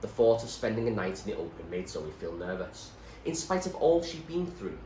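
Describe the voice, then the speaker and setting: A man narrates a novel in a low voice that rises and falls widely for emphasis. He sounds like someone reading aloud in an echoey room, delivering the scripted prose dramatically. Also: sing-song, moderate pace, mellow. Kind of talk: scripted story narration, read expressively. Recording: roomy echo, faint background noise.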